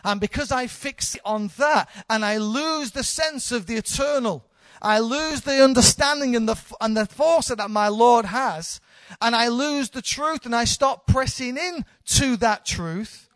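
A woman's voice: fairly high pitch, measured pace, dry recording. A woman in her thirties, a church leader, giving an informal, candid sermon with self-deprecating humour. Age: 30s.

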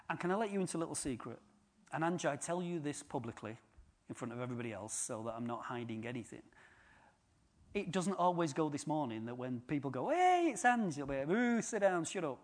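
A man's voice: a little high, animated, normal speed. A man giving an informal sermon, chatty and joking with the congregation. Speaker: preacher.

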